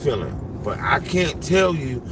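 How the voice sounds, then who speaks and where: A man's voice: medium pitch, unhurried, heavy background noise. A man in his forties talking casually in a rambling, repetitive way from inside a vehicle. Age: 40s. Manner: rambling, animated and repetitive, restarts often. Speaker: livestreamer and driver. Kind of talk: casual monologue.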